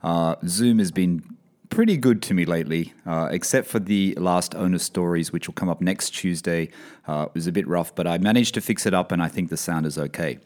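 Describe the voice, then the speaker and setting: A man with a very low voice hosts a podcast. He talks in a loose, rambling way, and his voice sounds a little croaky, as if he is coming down with something. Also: a little fast. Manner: relaxed, rambling, many fillers. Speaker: podcast host. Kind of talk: casual podcast chat. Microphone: podcast mic over video call.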